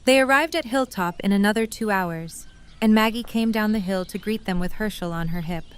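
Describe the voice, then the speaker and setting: A woman's voice: mid-range voice, brisk, clean tone. A woman reading scripted story narration for an audio drama.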